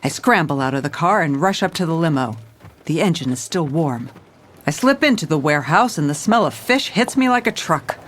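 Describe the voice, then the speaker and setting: A woman's voice: low voice, expressive, brisk, slight background noise. An actress playing a hard-boiled, weary female police commissioner in a noir audio drama, with a wry, clipped delivery. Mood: focused narration. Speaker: narrator and lead character.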